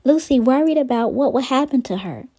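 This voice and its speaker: A woman's voice: high voice, lively intonation, brisk, dark tone. A woman reading a children's storybook aloud expressively, as a storyteller.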